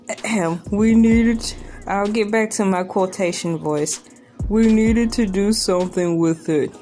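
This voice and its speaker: A woman reading news aloud as a humorous online creator, dropping in sarcastic, joking asides with theatrical, drawn-out emphasis. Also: mid-range voice, darkish tone. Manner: sarcastic, joking asides between read lines. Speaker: online video creator reacting to a news article. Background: background music.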